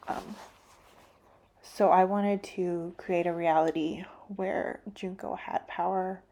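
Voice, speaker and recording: A woman painter giving a soft, halting, reflective talk about her painting, full of fillers and drifting into whispers. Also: medium pitch.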